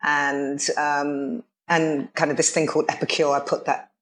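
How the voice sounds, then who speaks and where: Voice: medium pitch, little pitch movement, moderate pace, fairly bright tone, slight background noise. A musician guest talking in an earnest, reflective way over a remote call, with lots of fillers. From Nigeria. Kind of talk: casual chat.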